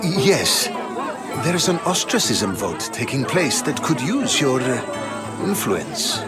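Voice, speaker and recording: A man's voice: mid-range voice, sing-song, slow, loud music behind the voice, a loud crowd. An actor playing a wry, weary, dignified Athenian statesman in a video game, speaking formally and articulately. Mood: businesslike. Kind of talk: dramatic video game acting.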